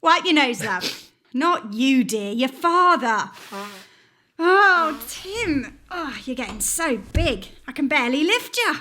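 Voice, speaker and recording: A doting working-class mother with a high, forceful voice. She fusses fondly and scolds with a sharp tongue, in radio-play style. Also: very animated, slow, a little hiss or hum, heavily acted. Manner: affectionate, scolding. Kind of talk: stage radio-play acting.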